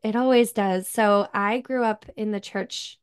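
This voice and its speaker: A woman's voice: mid-range voice, steady tone, measured pace, clean tone. A woman from upstate New York with that region's accent, telling her life story as a podcast guest in a chatty way full of "like" and "um". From upstate New York, USA. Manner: chatty, many fillers like "like" and "um". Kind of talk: casual interview chat.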